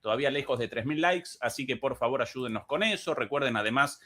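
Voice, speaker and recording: A man hosting a live talk show at a very rapid clip. His pitch swings widely, and his delivery is halting, broken by fillers and restarts. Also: animated, fairly bright tone. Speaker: host.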